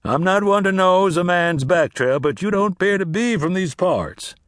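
A man plays a smooth, chatty middle-aged peddler, talking in a genial, slightly raised voice. The recording is dry and close. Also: sits high, lively intonation, normal speed, no echo, pitched above the performer's own voice. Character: suave middle-aged male traveling salesman.

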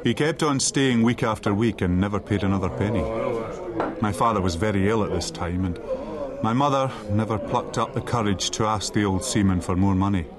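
An actor playing a man who recounts his boyhood, narrating a dramatised audiobook in a sombre, measured way. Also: lowish voice, over a noisy crowd, close to an everyday voice. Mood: reflective.

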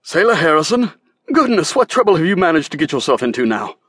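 A man's voice: on the high side, big pitch swings, fast, fairly bright tone, breathy edge, dry recording, pitched above the performer's own voice, exaggerated voice. A man reading an audiobook, voicing a cocky, prominent merchant in a hearty, teasing way.

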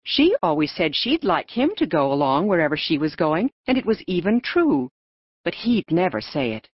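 Low-voiced woman narrating, dull sound. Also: big pitch swings, moderate pace, breathy edge, no room sound. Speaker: audiobook narrator. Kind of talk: scripted narration of a children's novel. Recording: dull, narrow lo-fi audio.

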